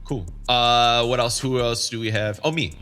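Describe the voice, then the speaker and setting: A man's voice: mid-range voice, steady tone, normal speed, sharp tone, soft background music. A man hosting a casual chat among fellow DJs, asking questions in a slangy, conversational way. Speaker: podcast host and DJ.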